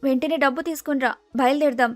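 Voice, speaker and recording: A woman voicing an excitable, vain young bride-to-be in storybook dialogue, pushy, impatient and insistent. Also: high-pitched, fast talker, no room sound, faint music behind, natural voice. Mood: eager, demanding.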